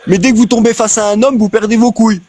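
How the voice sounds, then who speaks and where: A man's voice: high voice, steady tone, quick pace, a little mellow, slightly rough, dry recording. A man trash-talking aggressively on a live video call, challenging others to a fight. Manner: aggressive, provoking, insulting. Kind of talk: heated trash talk on a live stream.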